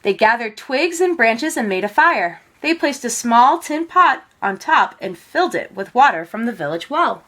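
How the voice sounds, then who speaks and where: Expressive woman storyteller, very lively intonation. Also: fairly high pitch, moderate pace, few pauses. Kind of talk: children's picture book read-aloud.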